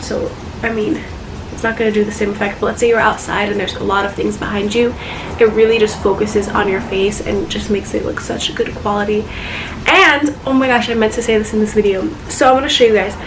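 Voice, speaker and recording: A woman's voice: on the high side, background noise. Chatty young woman, a bit congested. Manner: chatty, heavy on "like" and fillers. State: slightly congested. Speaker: YouTuber. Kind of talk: casual phone tour vlog.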